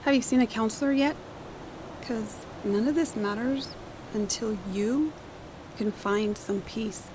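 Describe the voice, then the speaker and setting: Higher-voiced caring woman, noisy background, acted. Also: measured pace, lightly acted. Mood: concerned, tender. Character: caring, perceptive ex-wife and mother. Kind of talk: audio drama acting.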